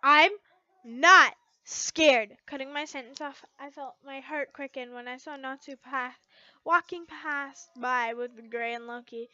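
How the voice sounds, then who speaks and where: High, bright-voiced woman reading a story. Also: fairly even intonation, moderate pace, no echo, highs cut off. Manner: stumbling over words, rereading. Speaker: fan fiction reader. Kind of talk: expressive amateur story narration.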